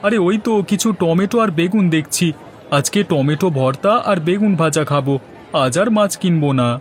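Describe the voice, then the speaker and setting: A man's voice: on the high side, animated, a little fast, slight background noise, close to an everyday voice. A man playing a fussy, thrifty market customer in a Bengali cartoon, exclaiming as he haggles. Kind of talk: Bengali moral-story cartoon dubbing.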